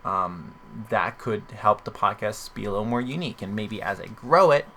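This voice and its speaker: A man's voice: lowish voice, expressive, normal speed, fairly bright tone, slight background noise. A man hosting a podcast in casual chat, rambling and questioning himself as he talks. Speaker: podcast host.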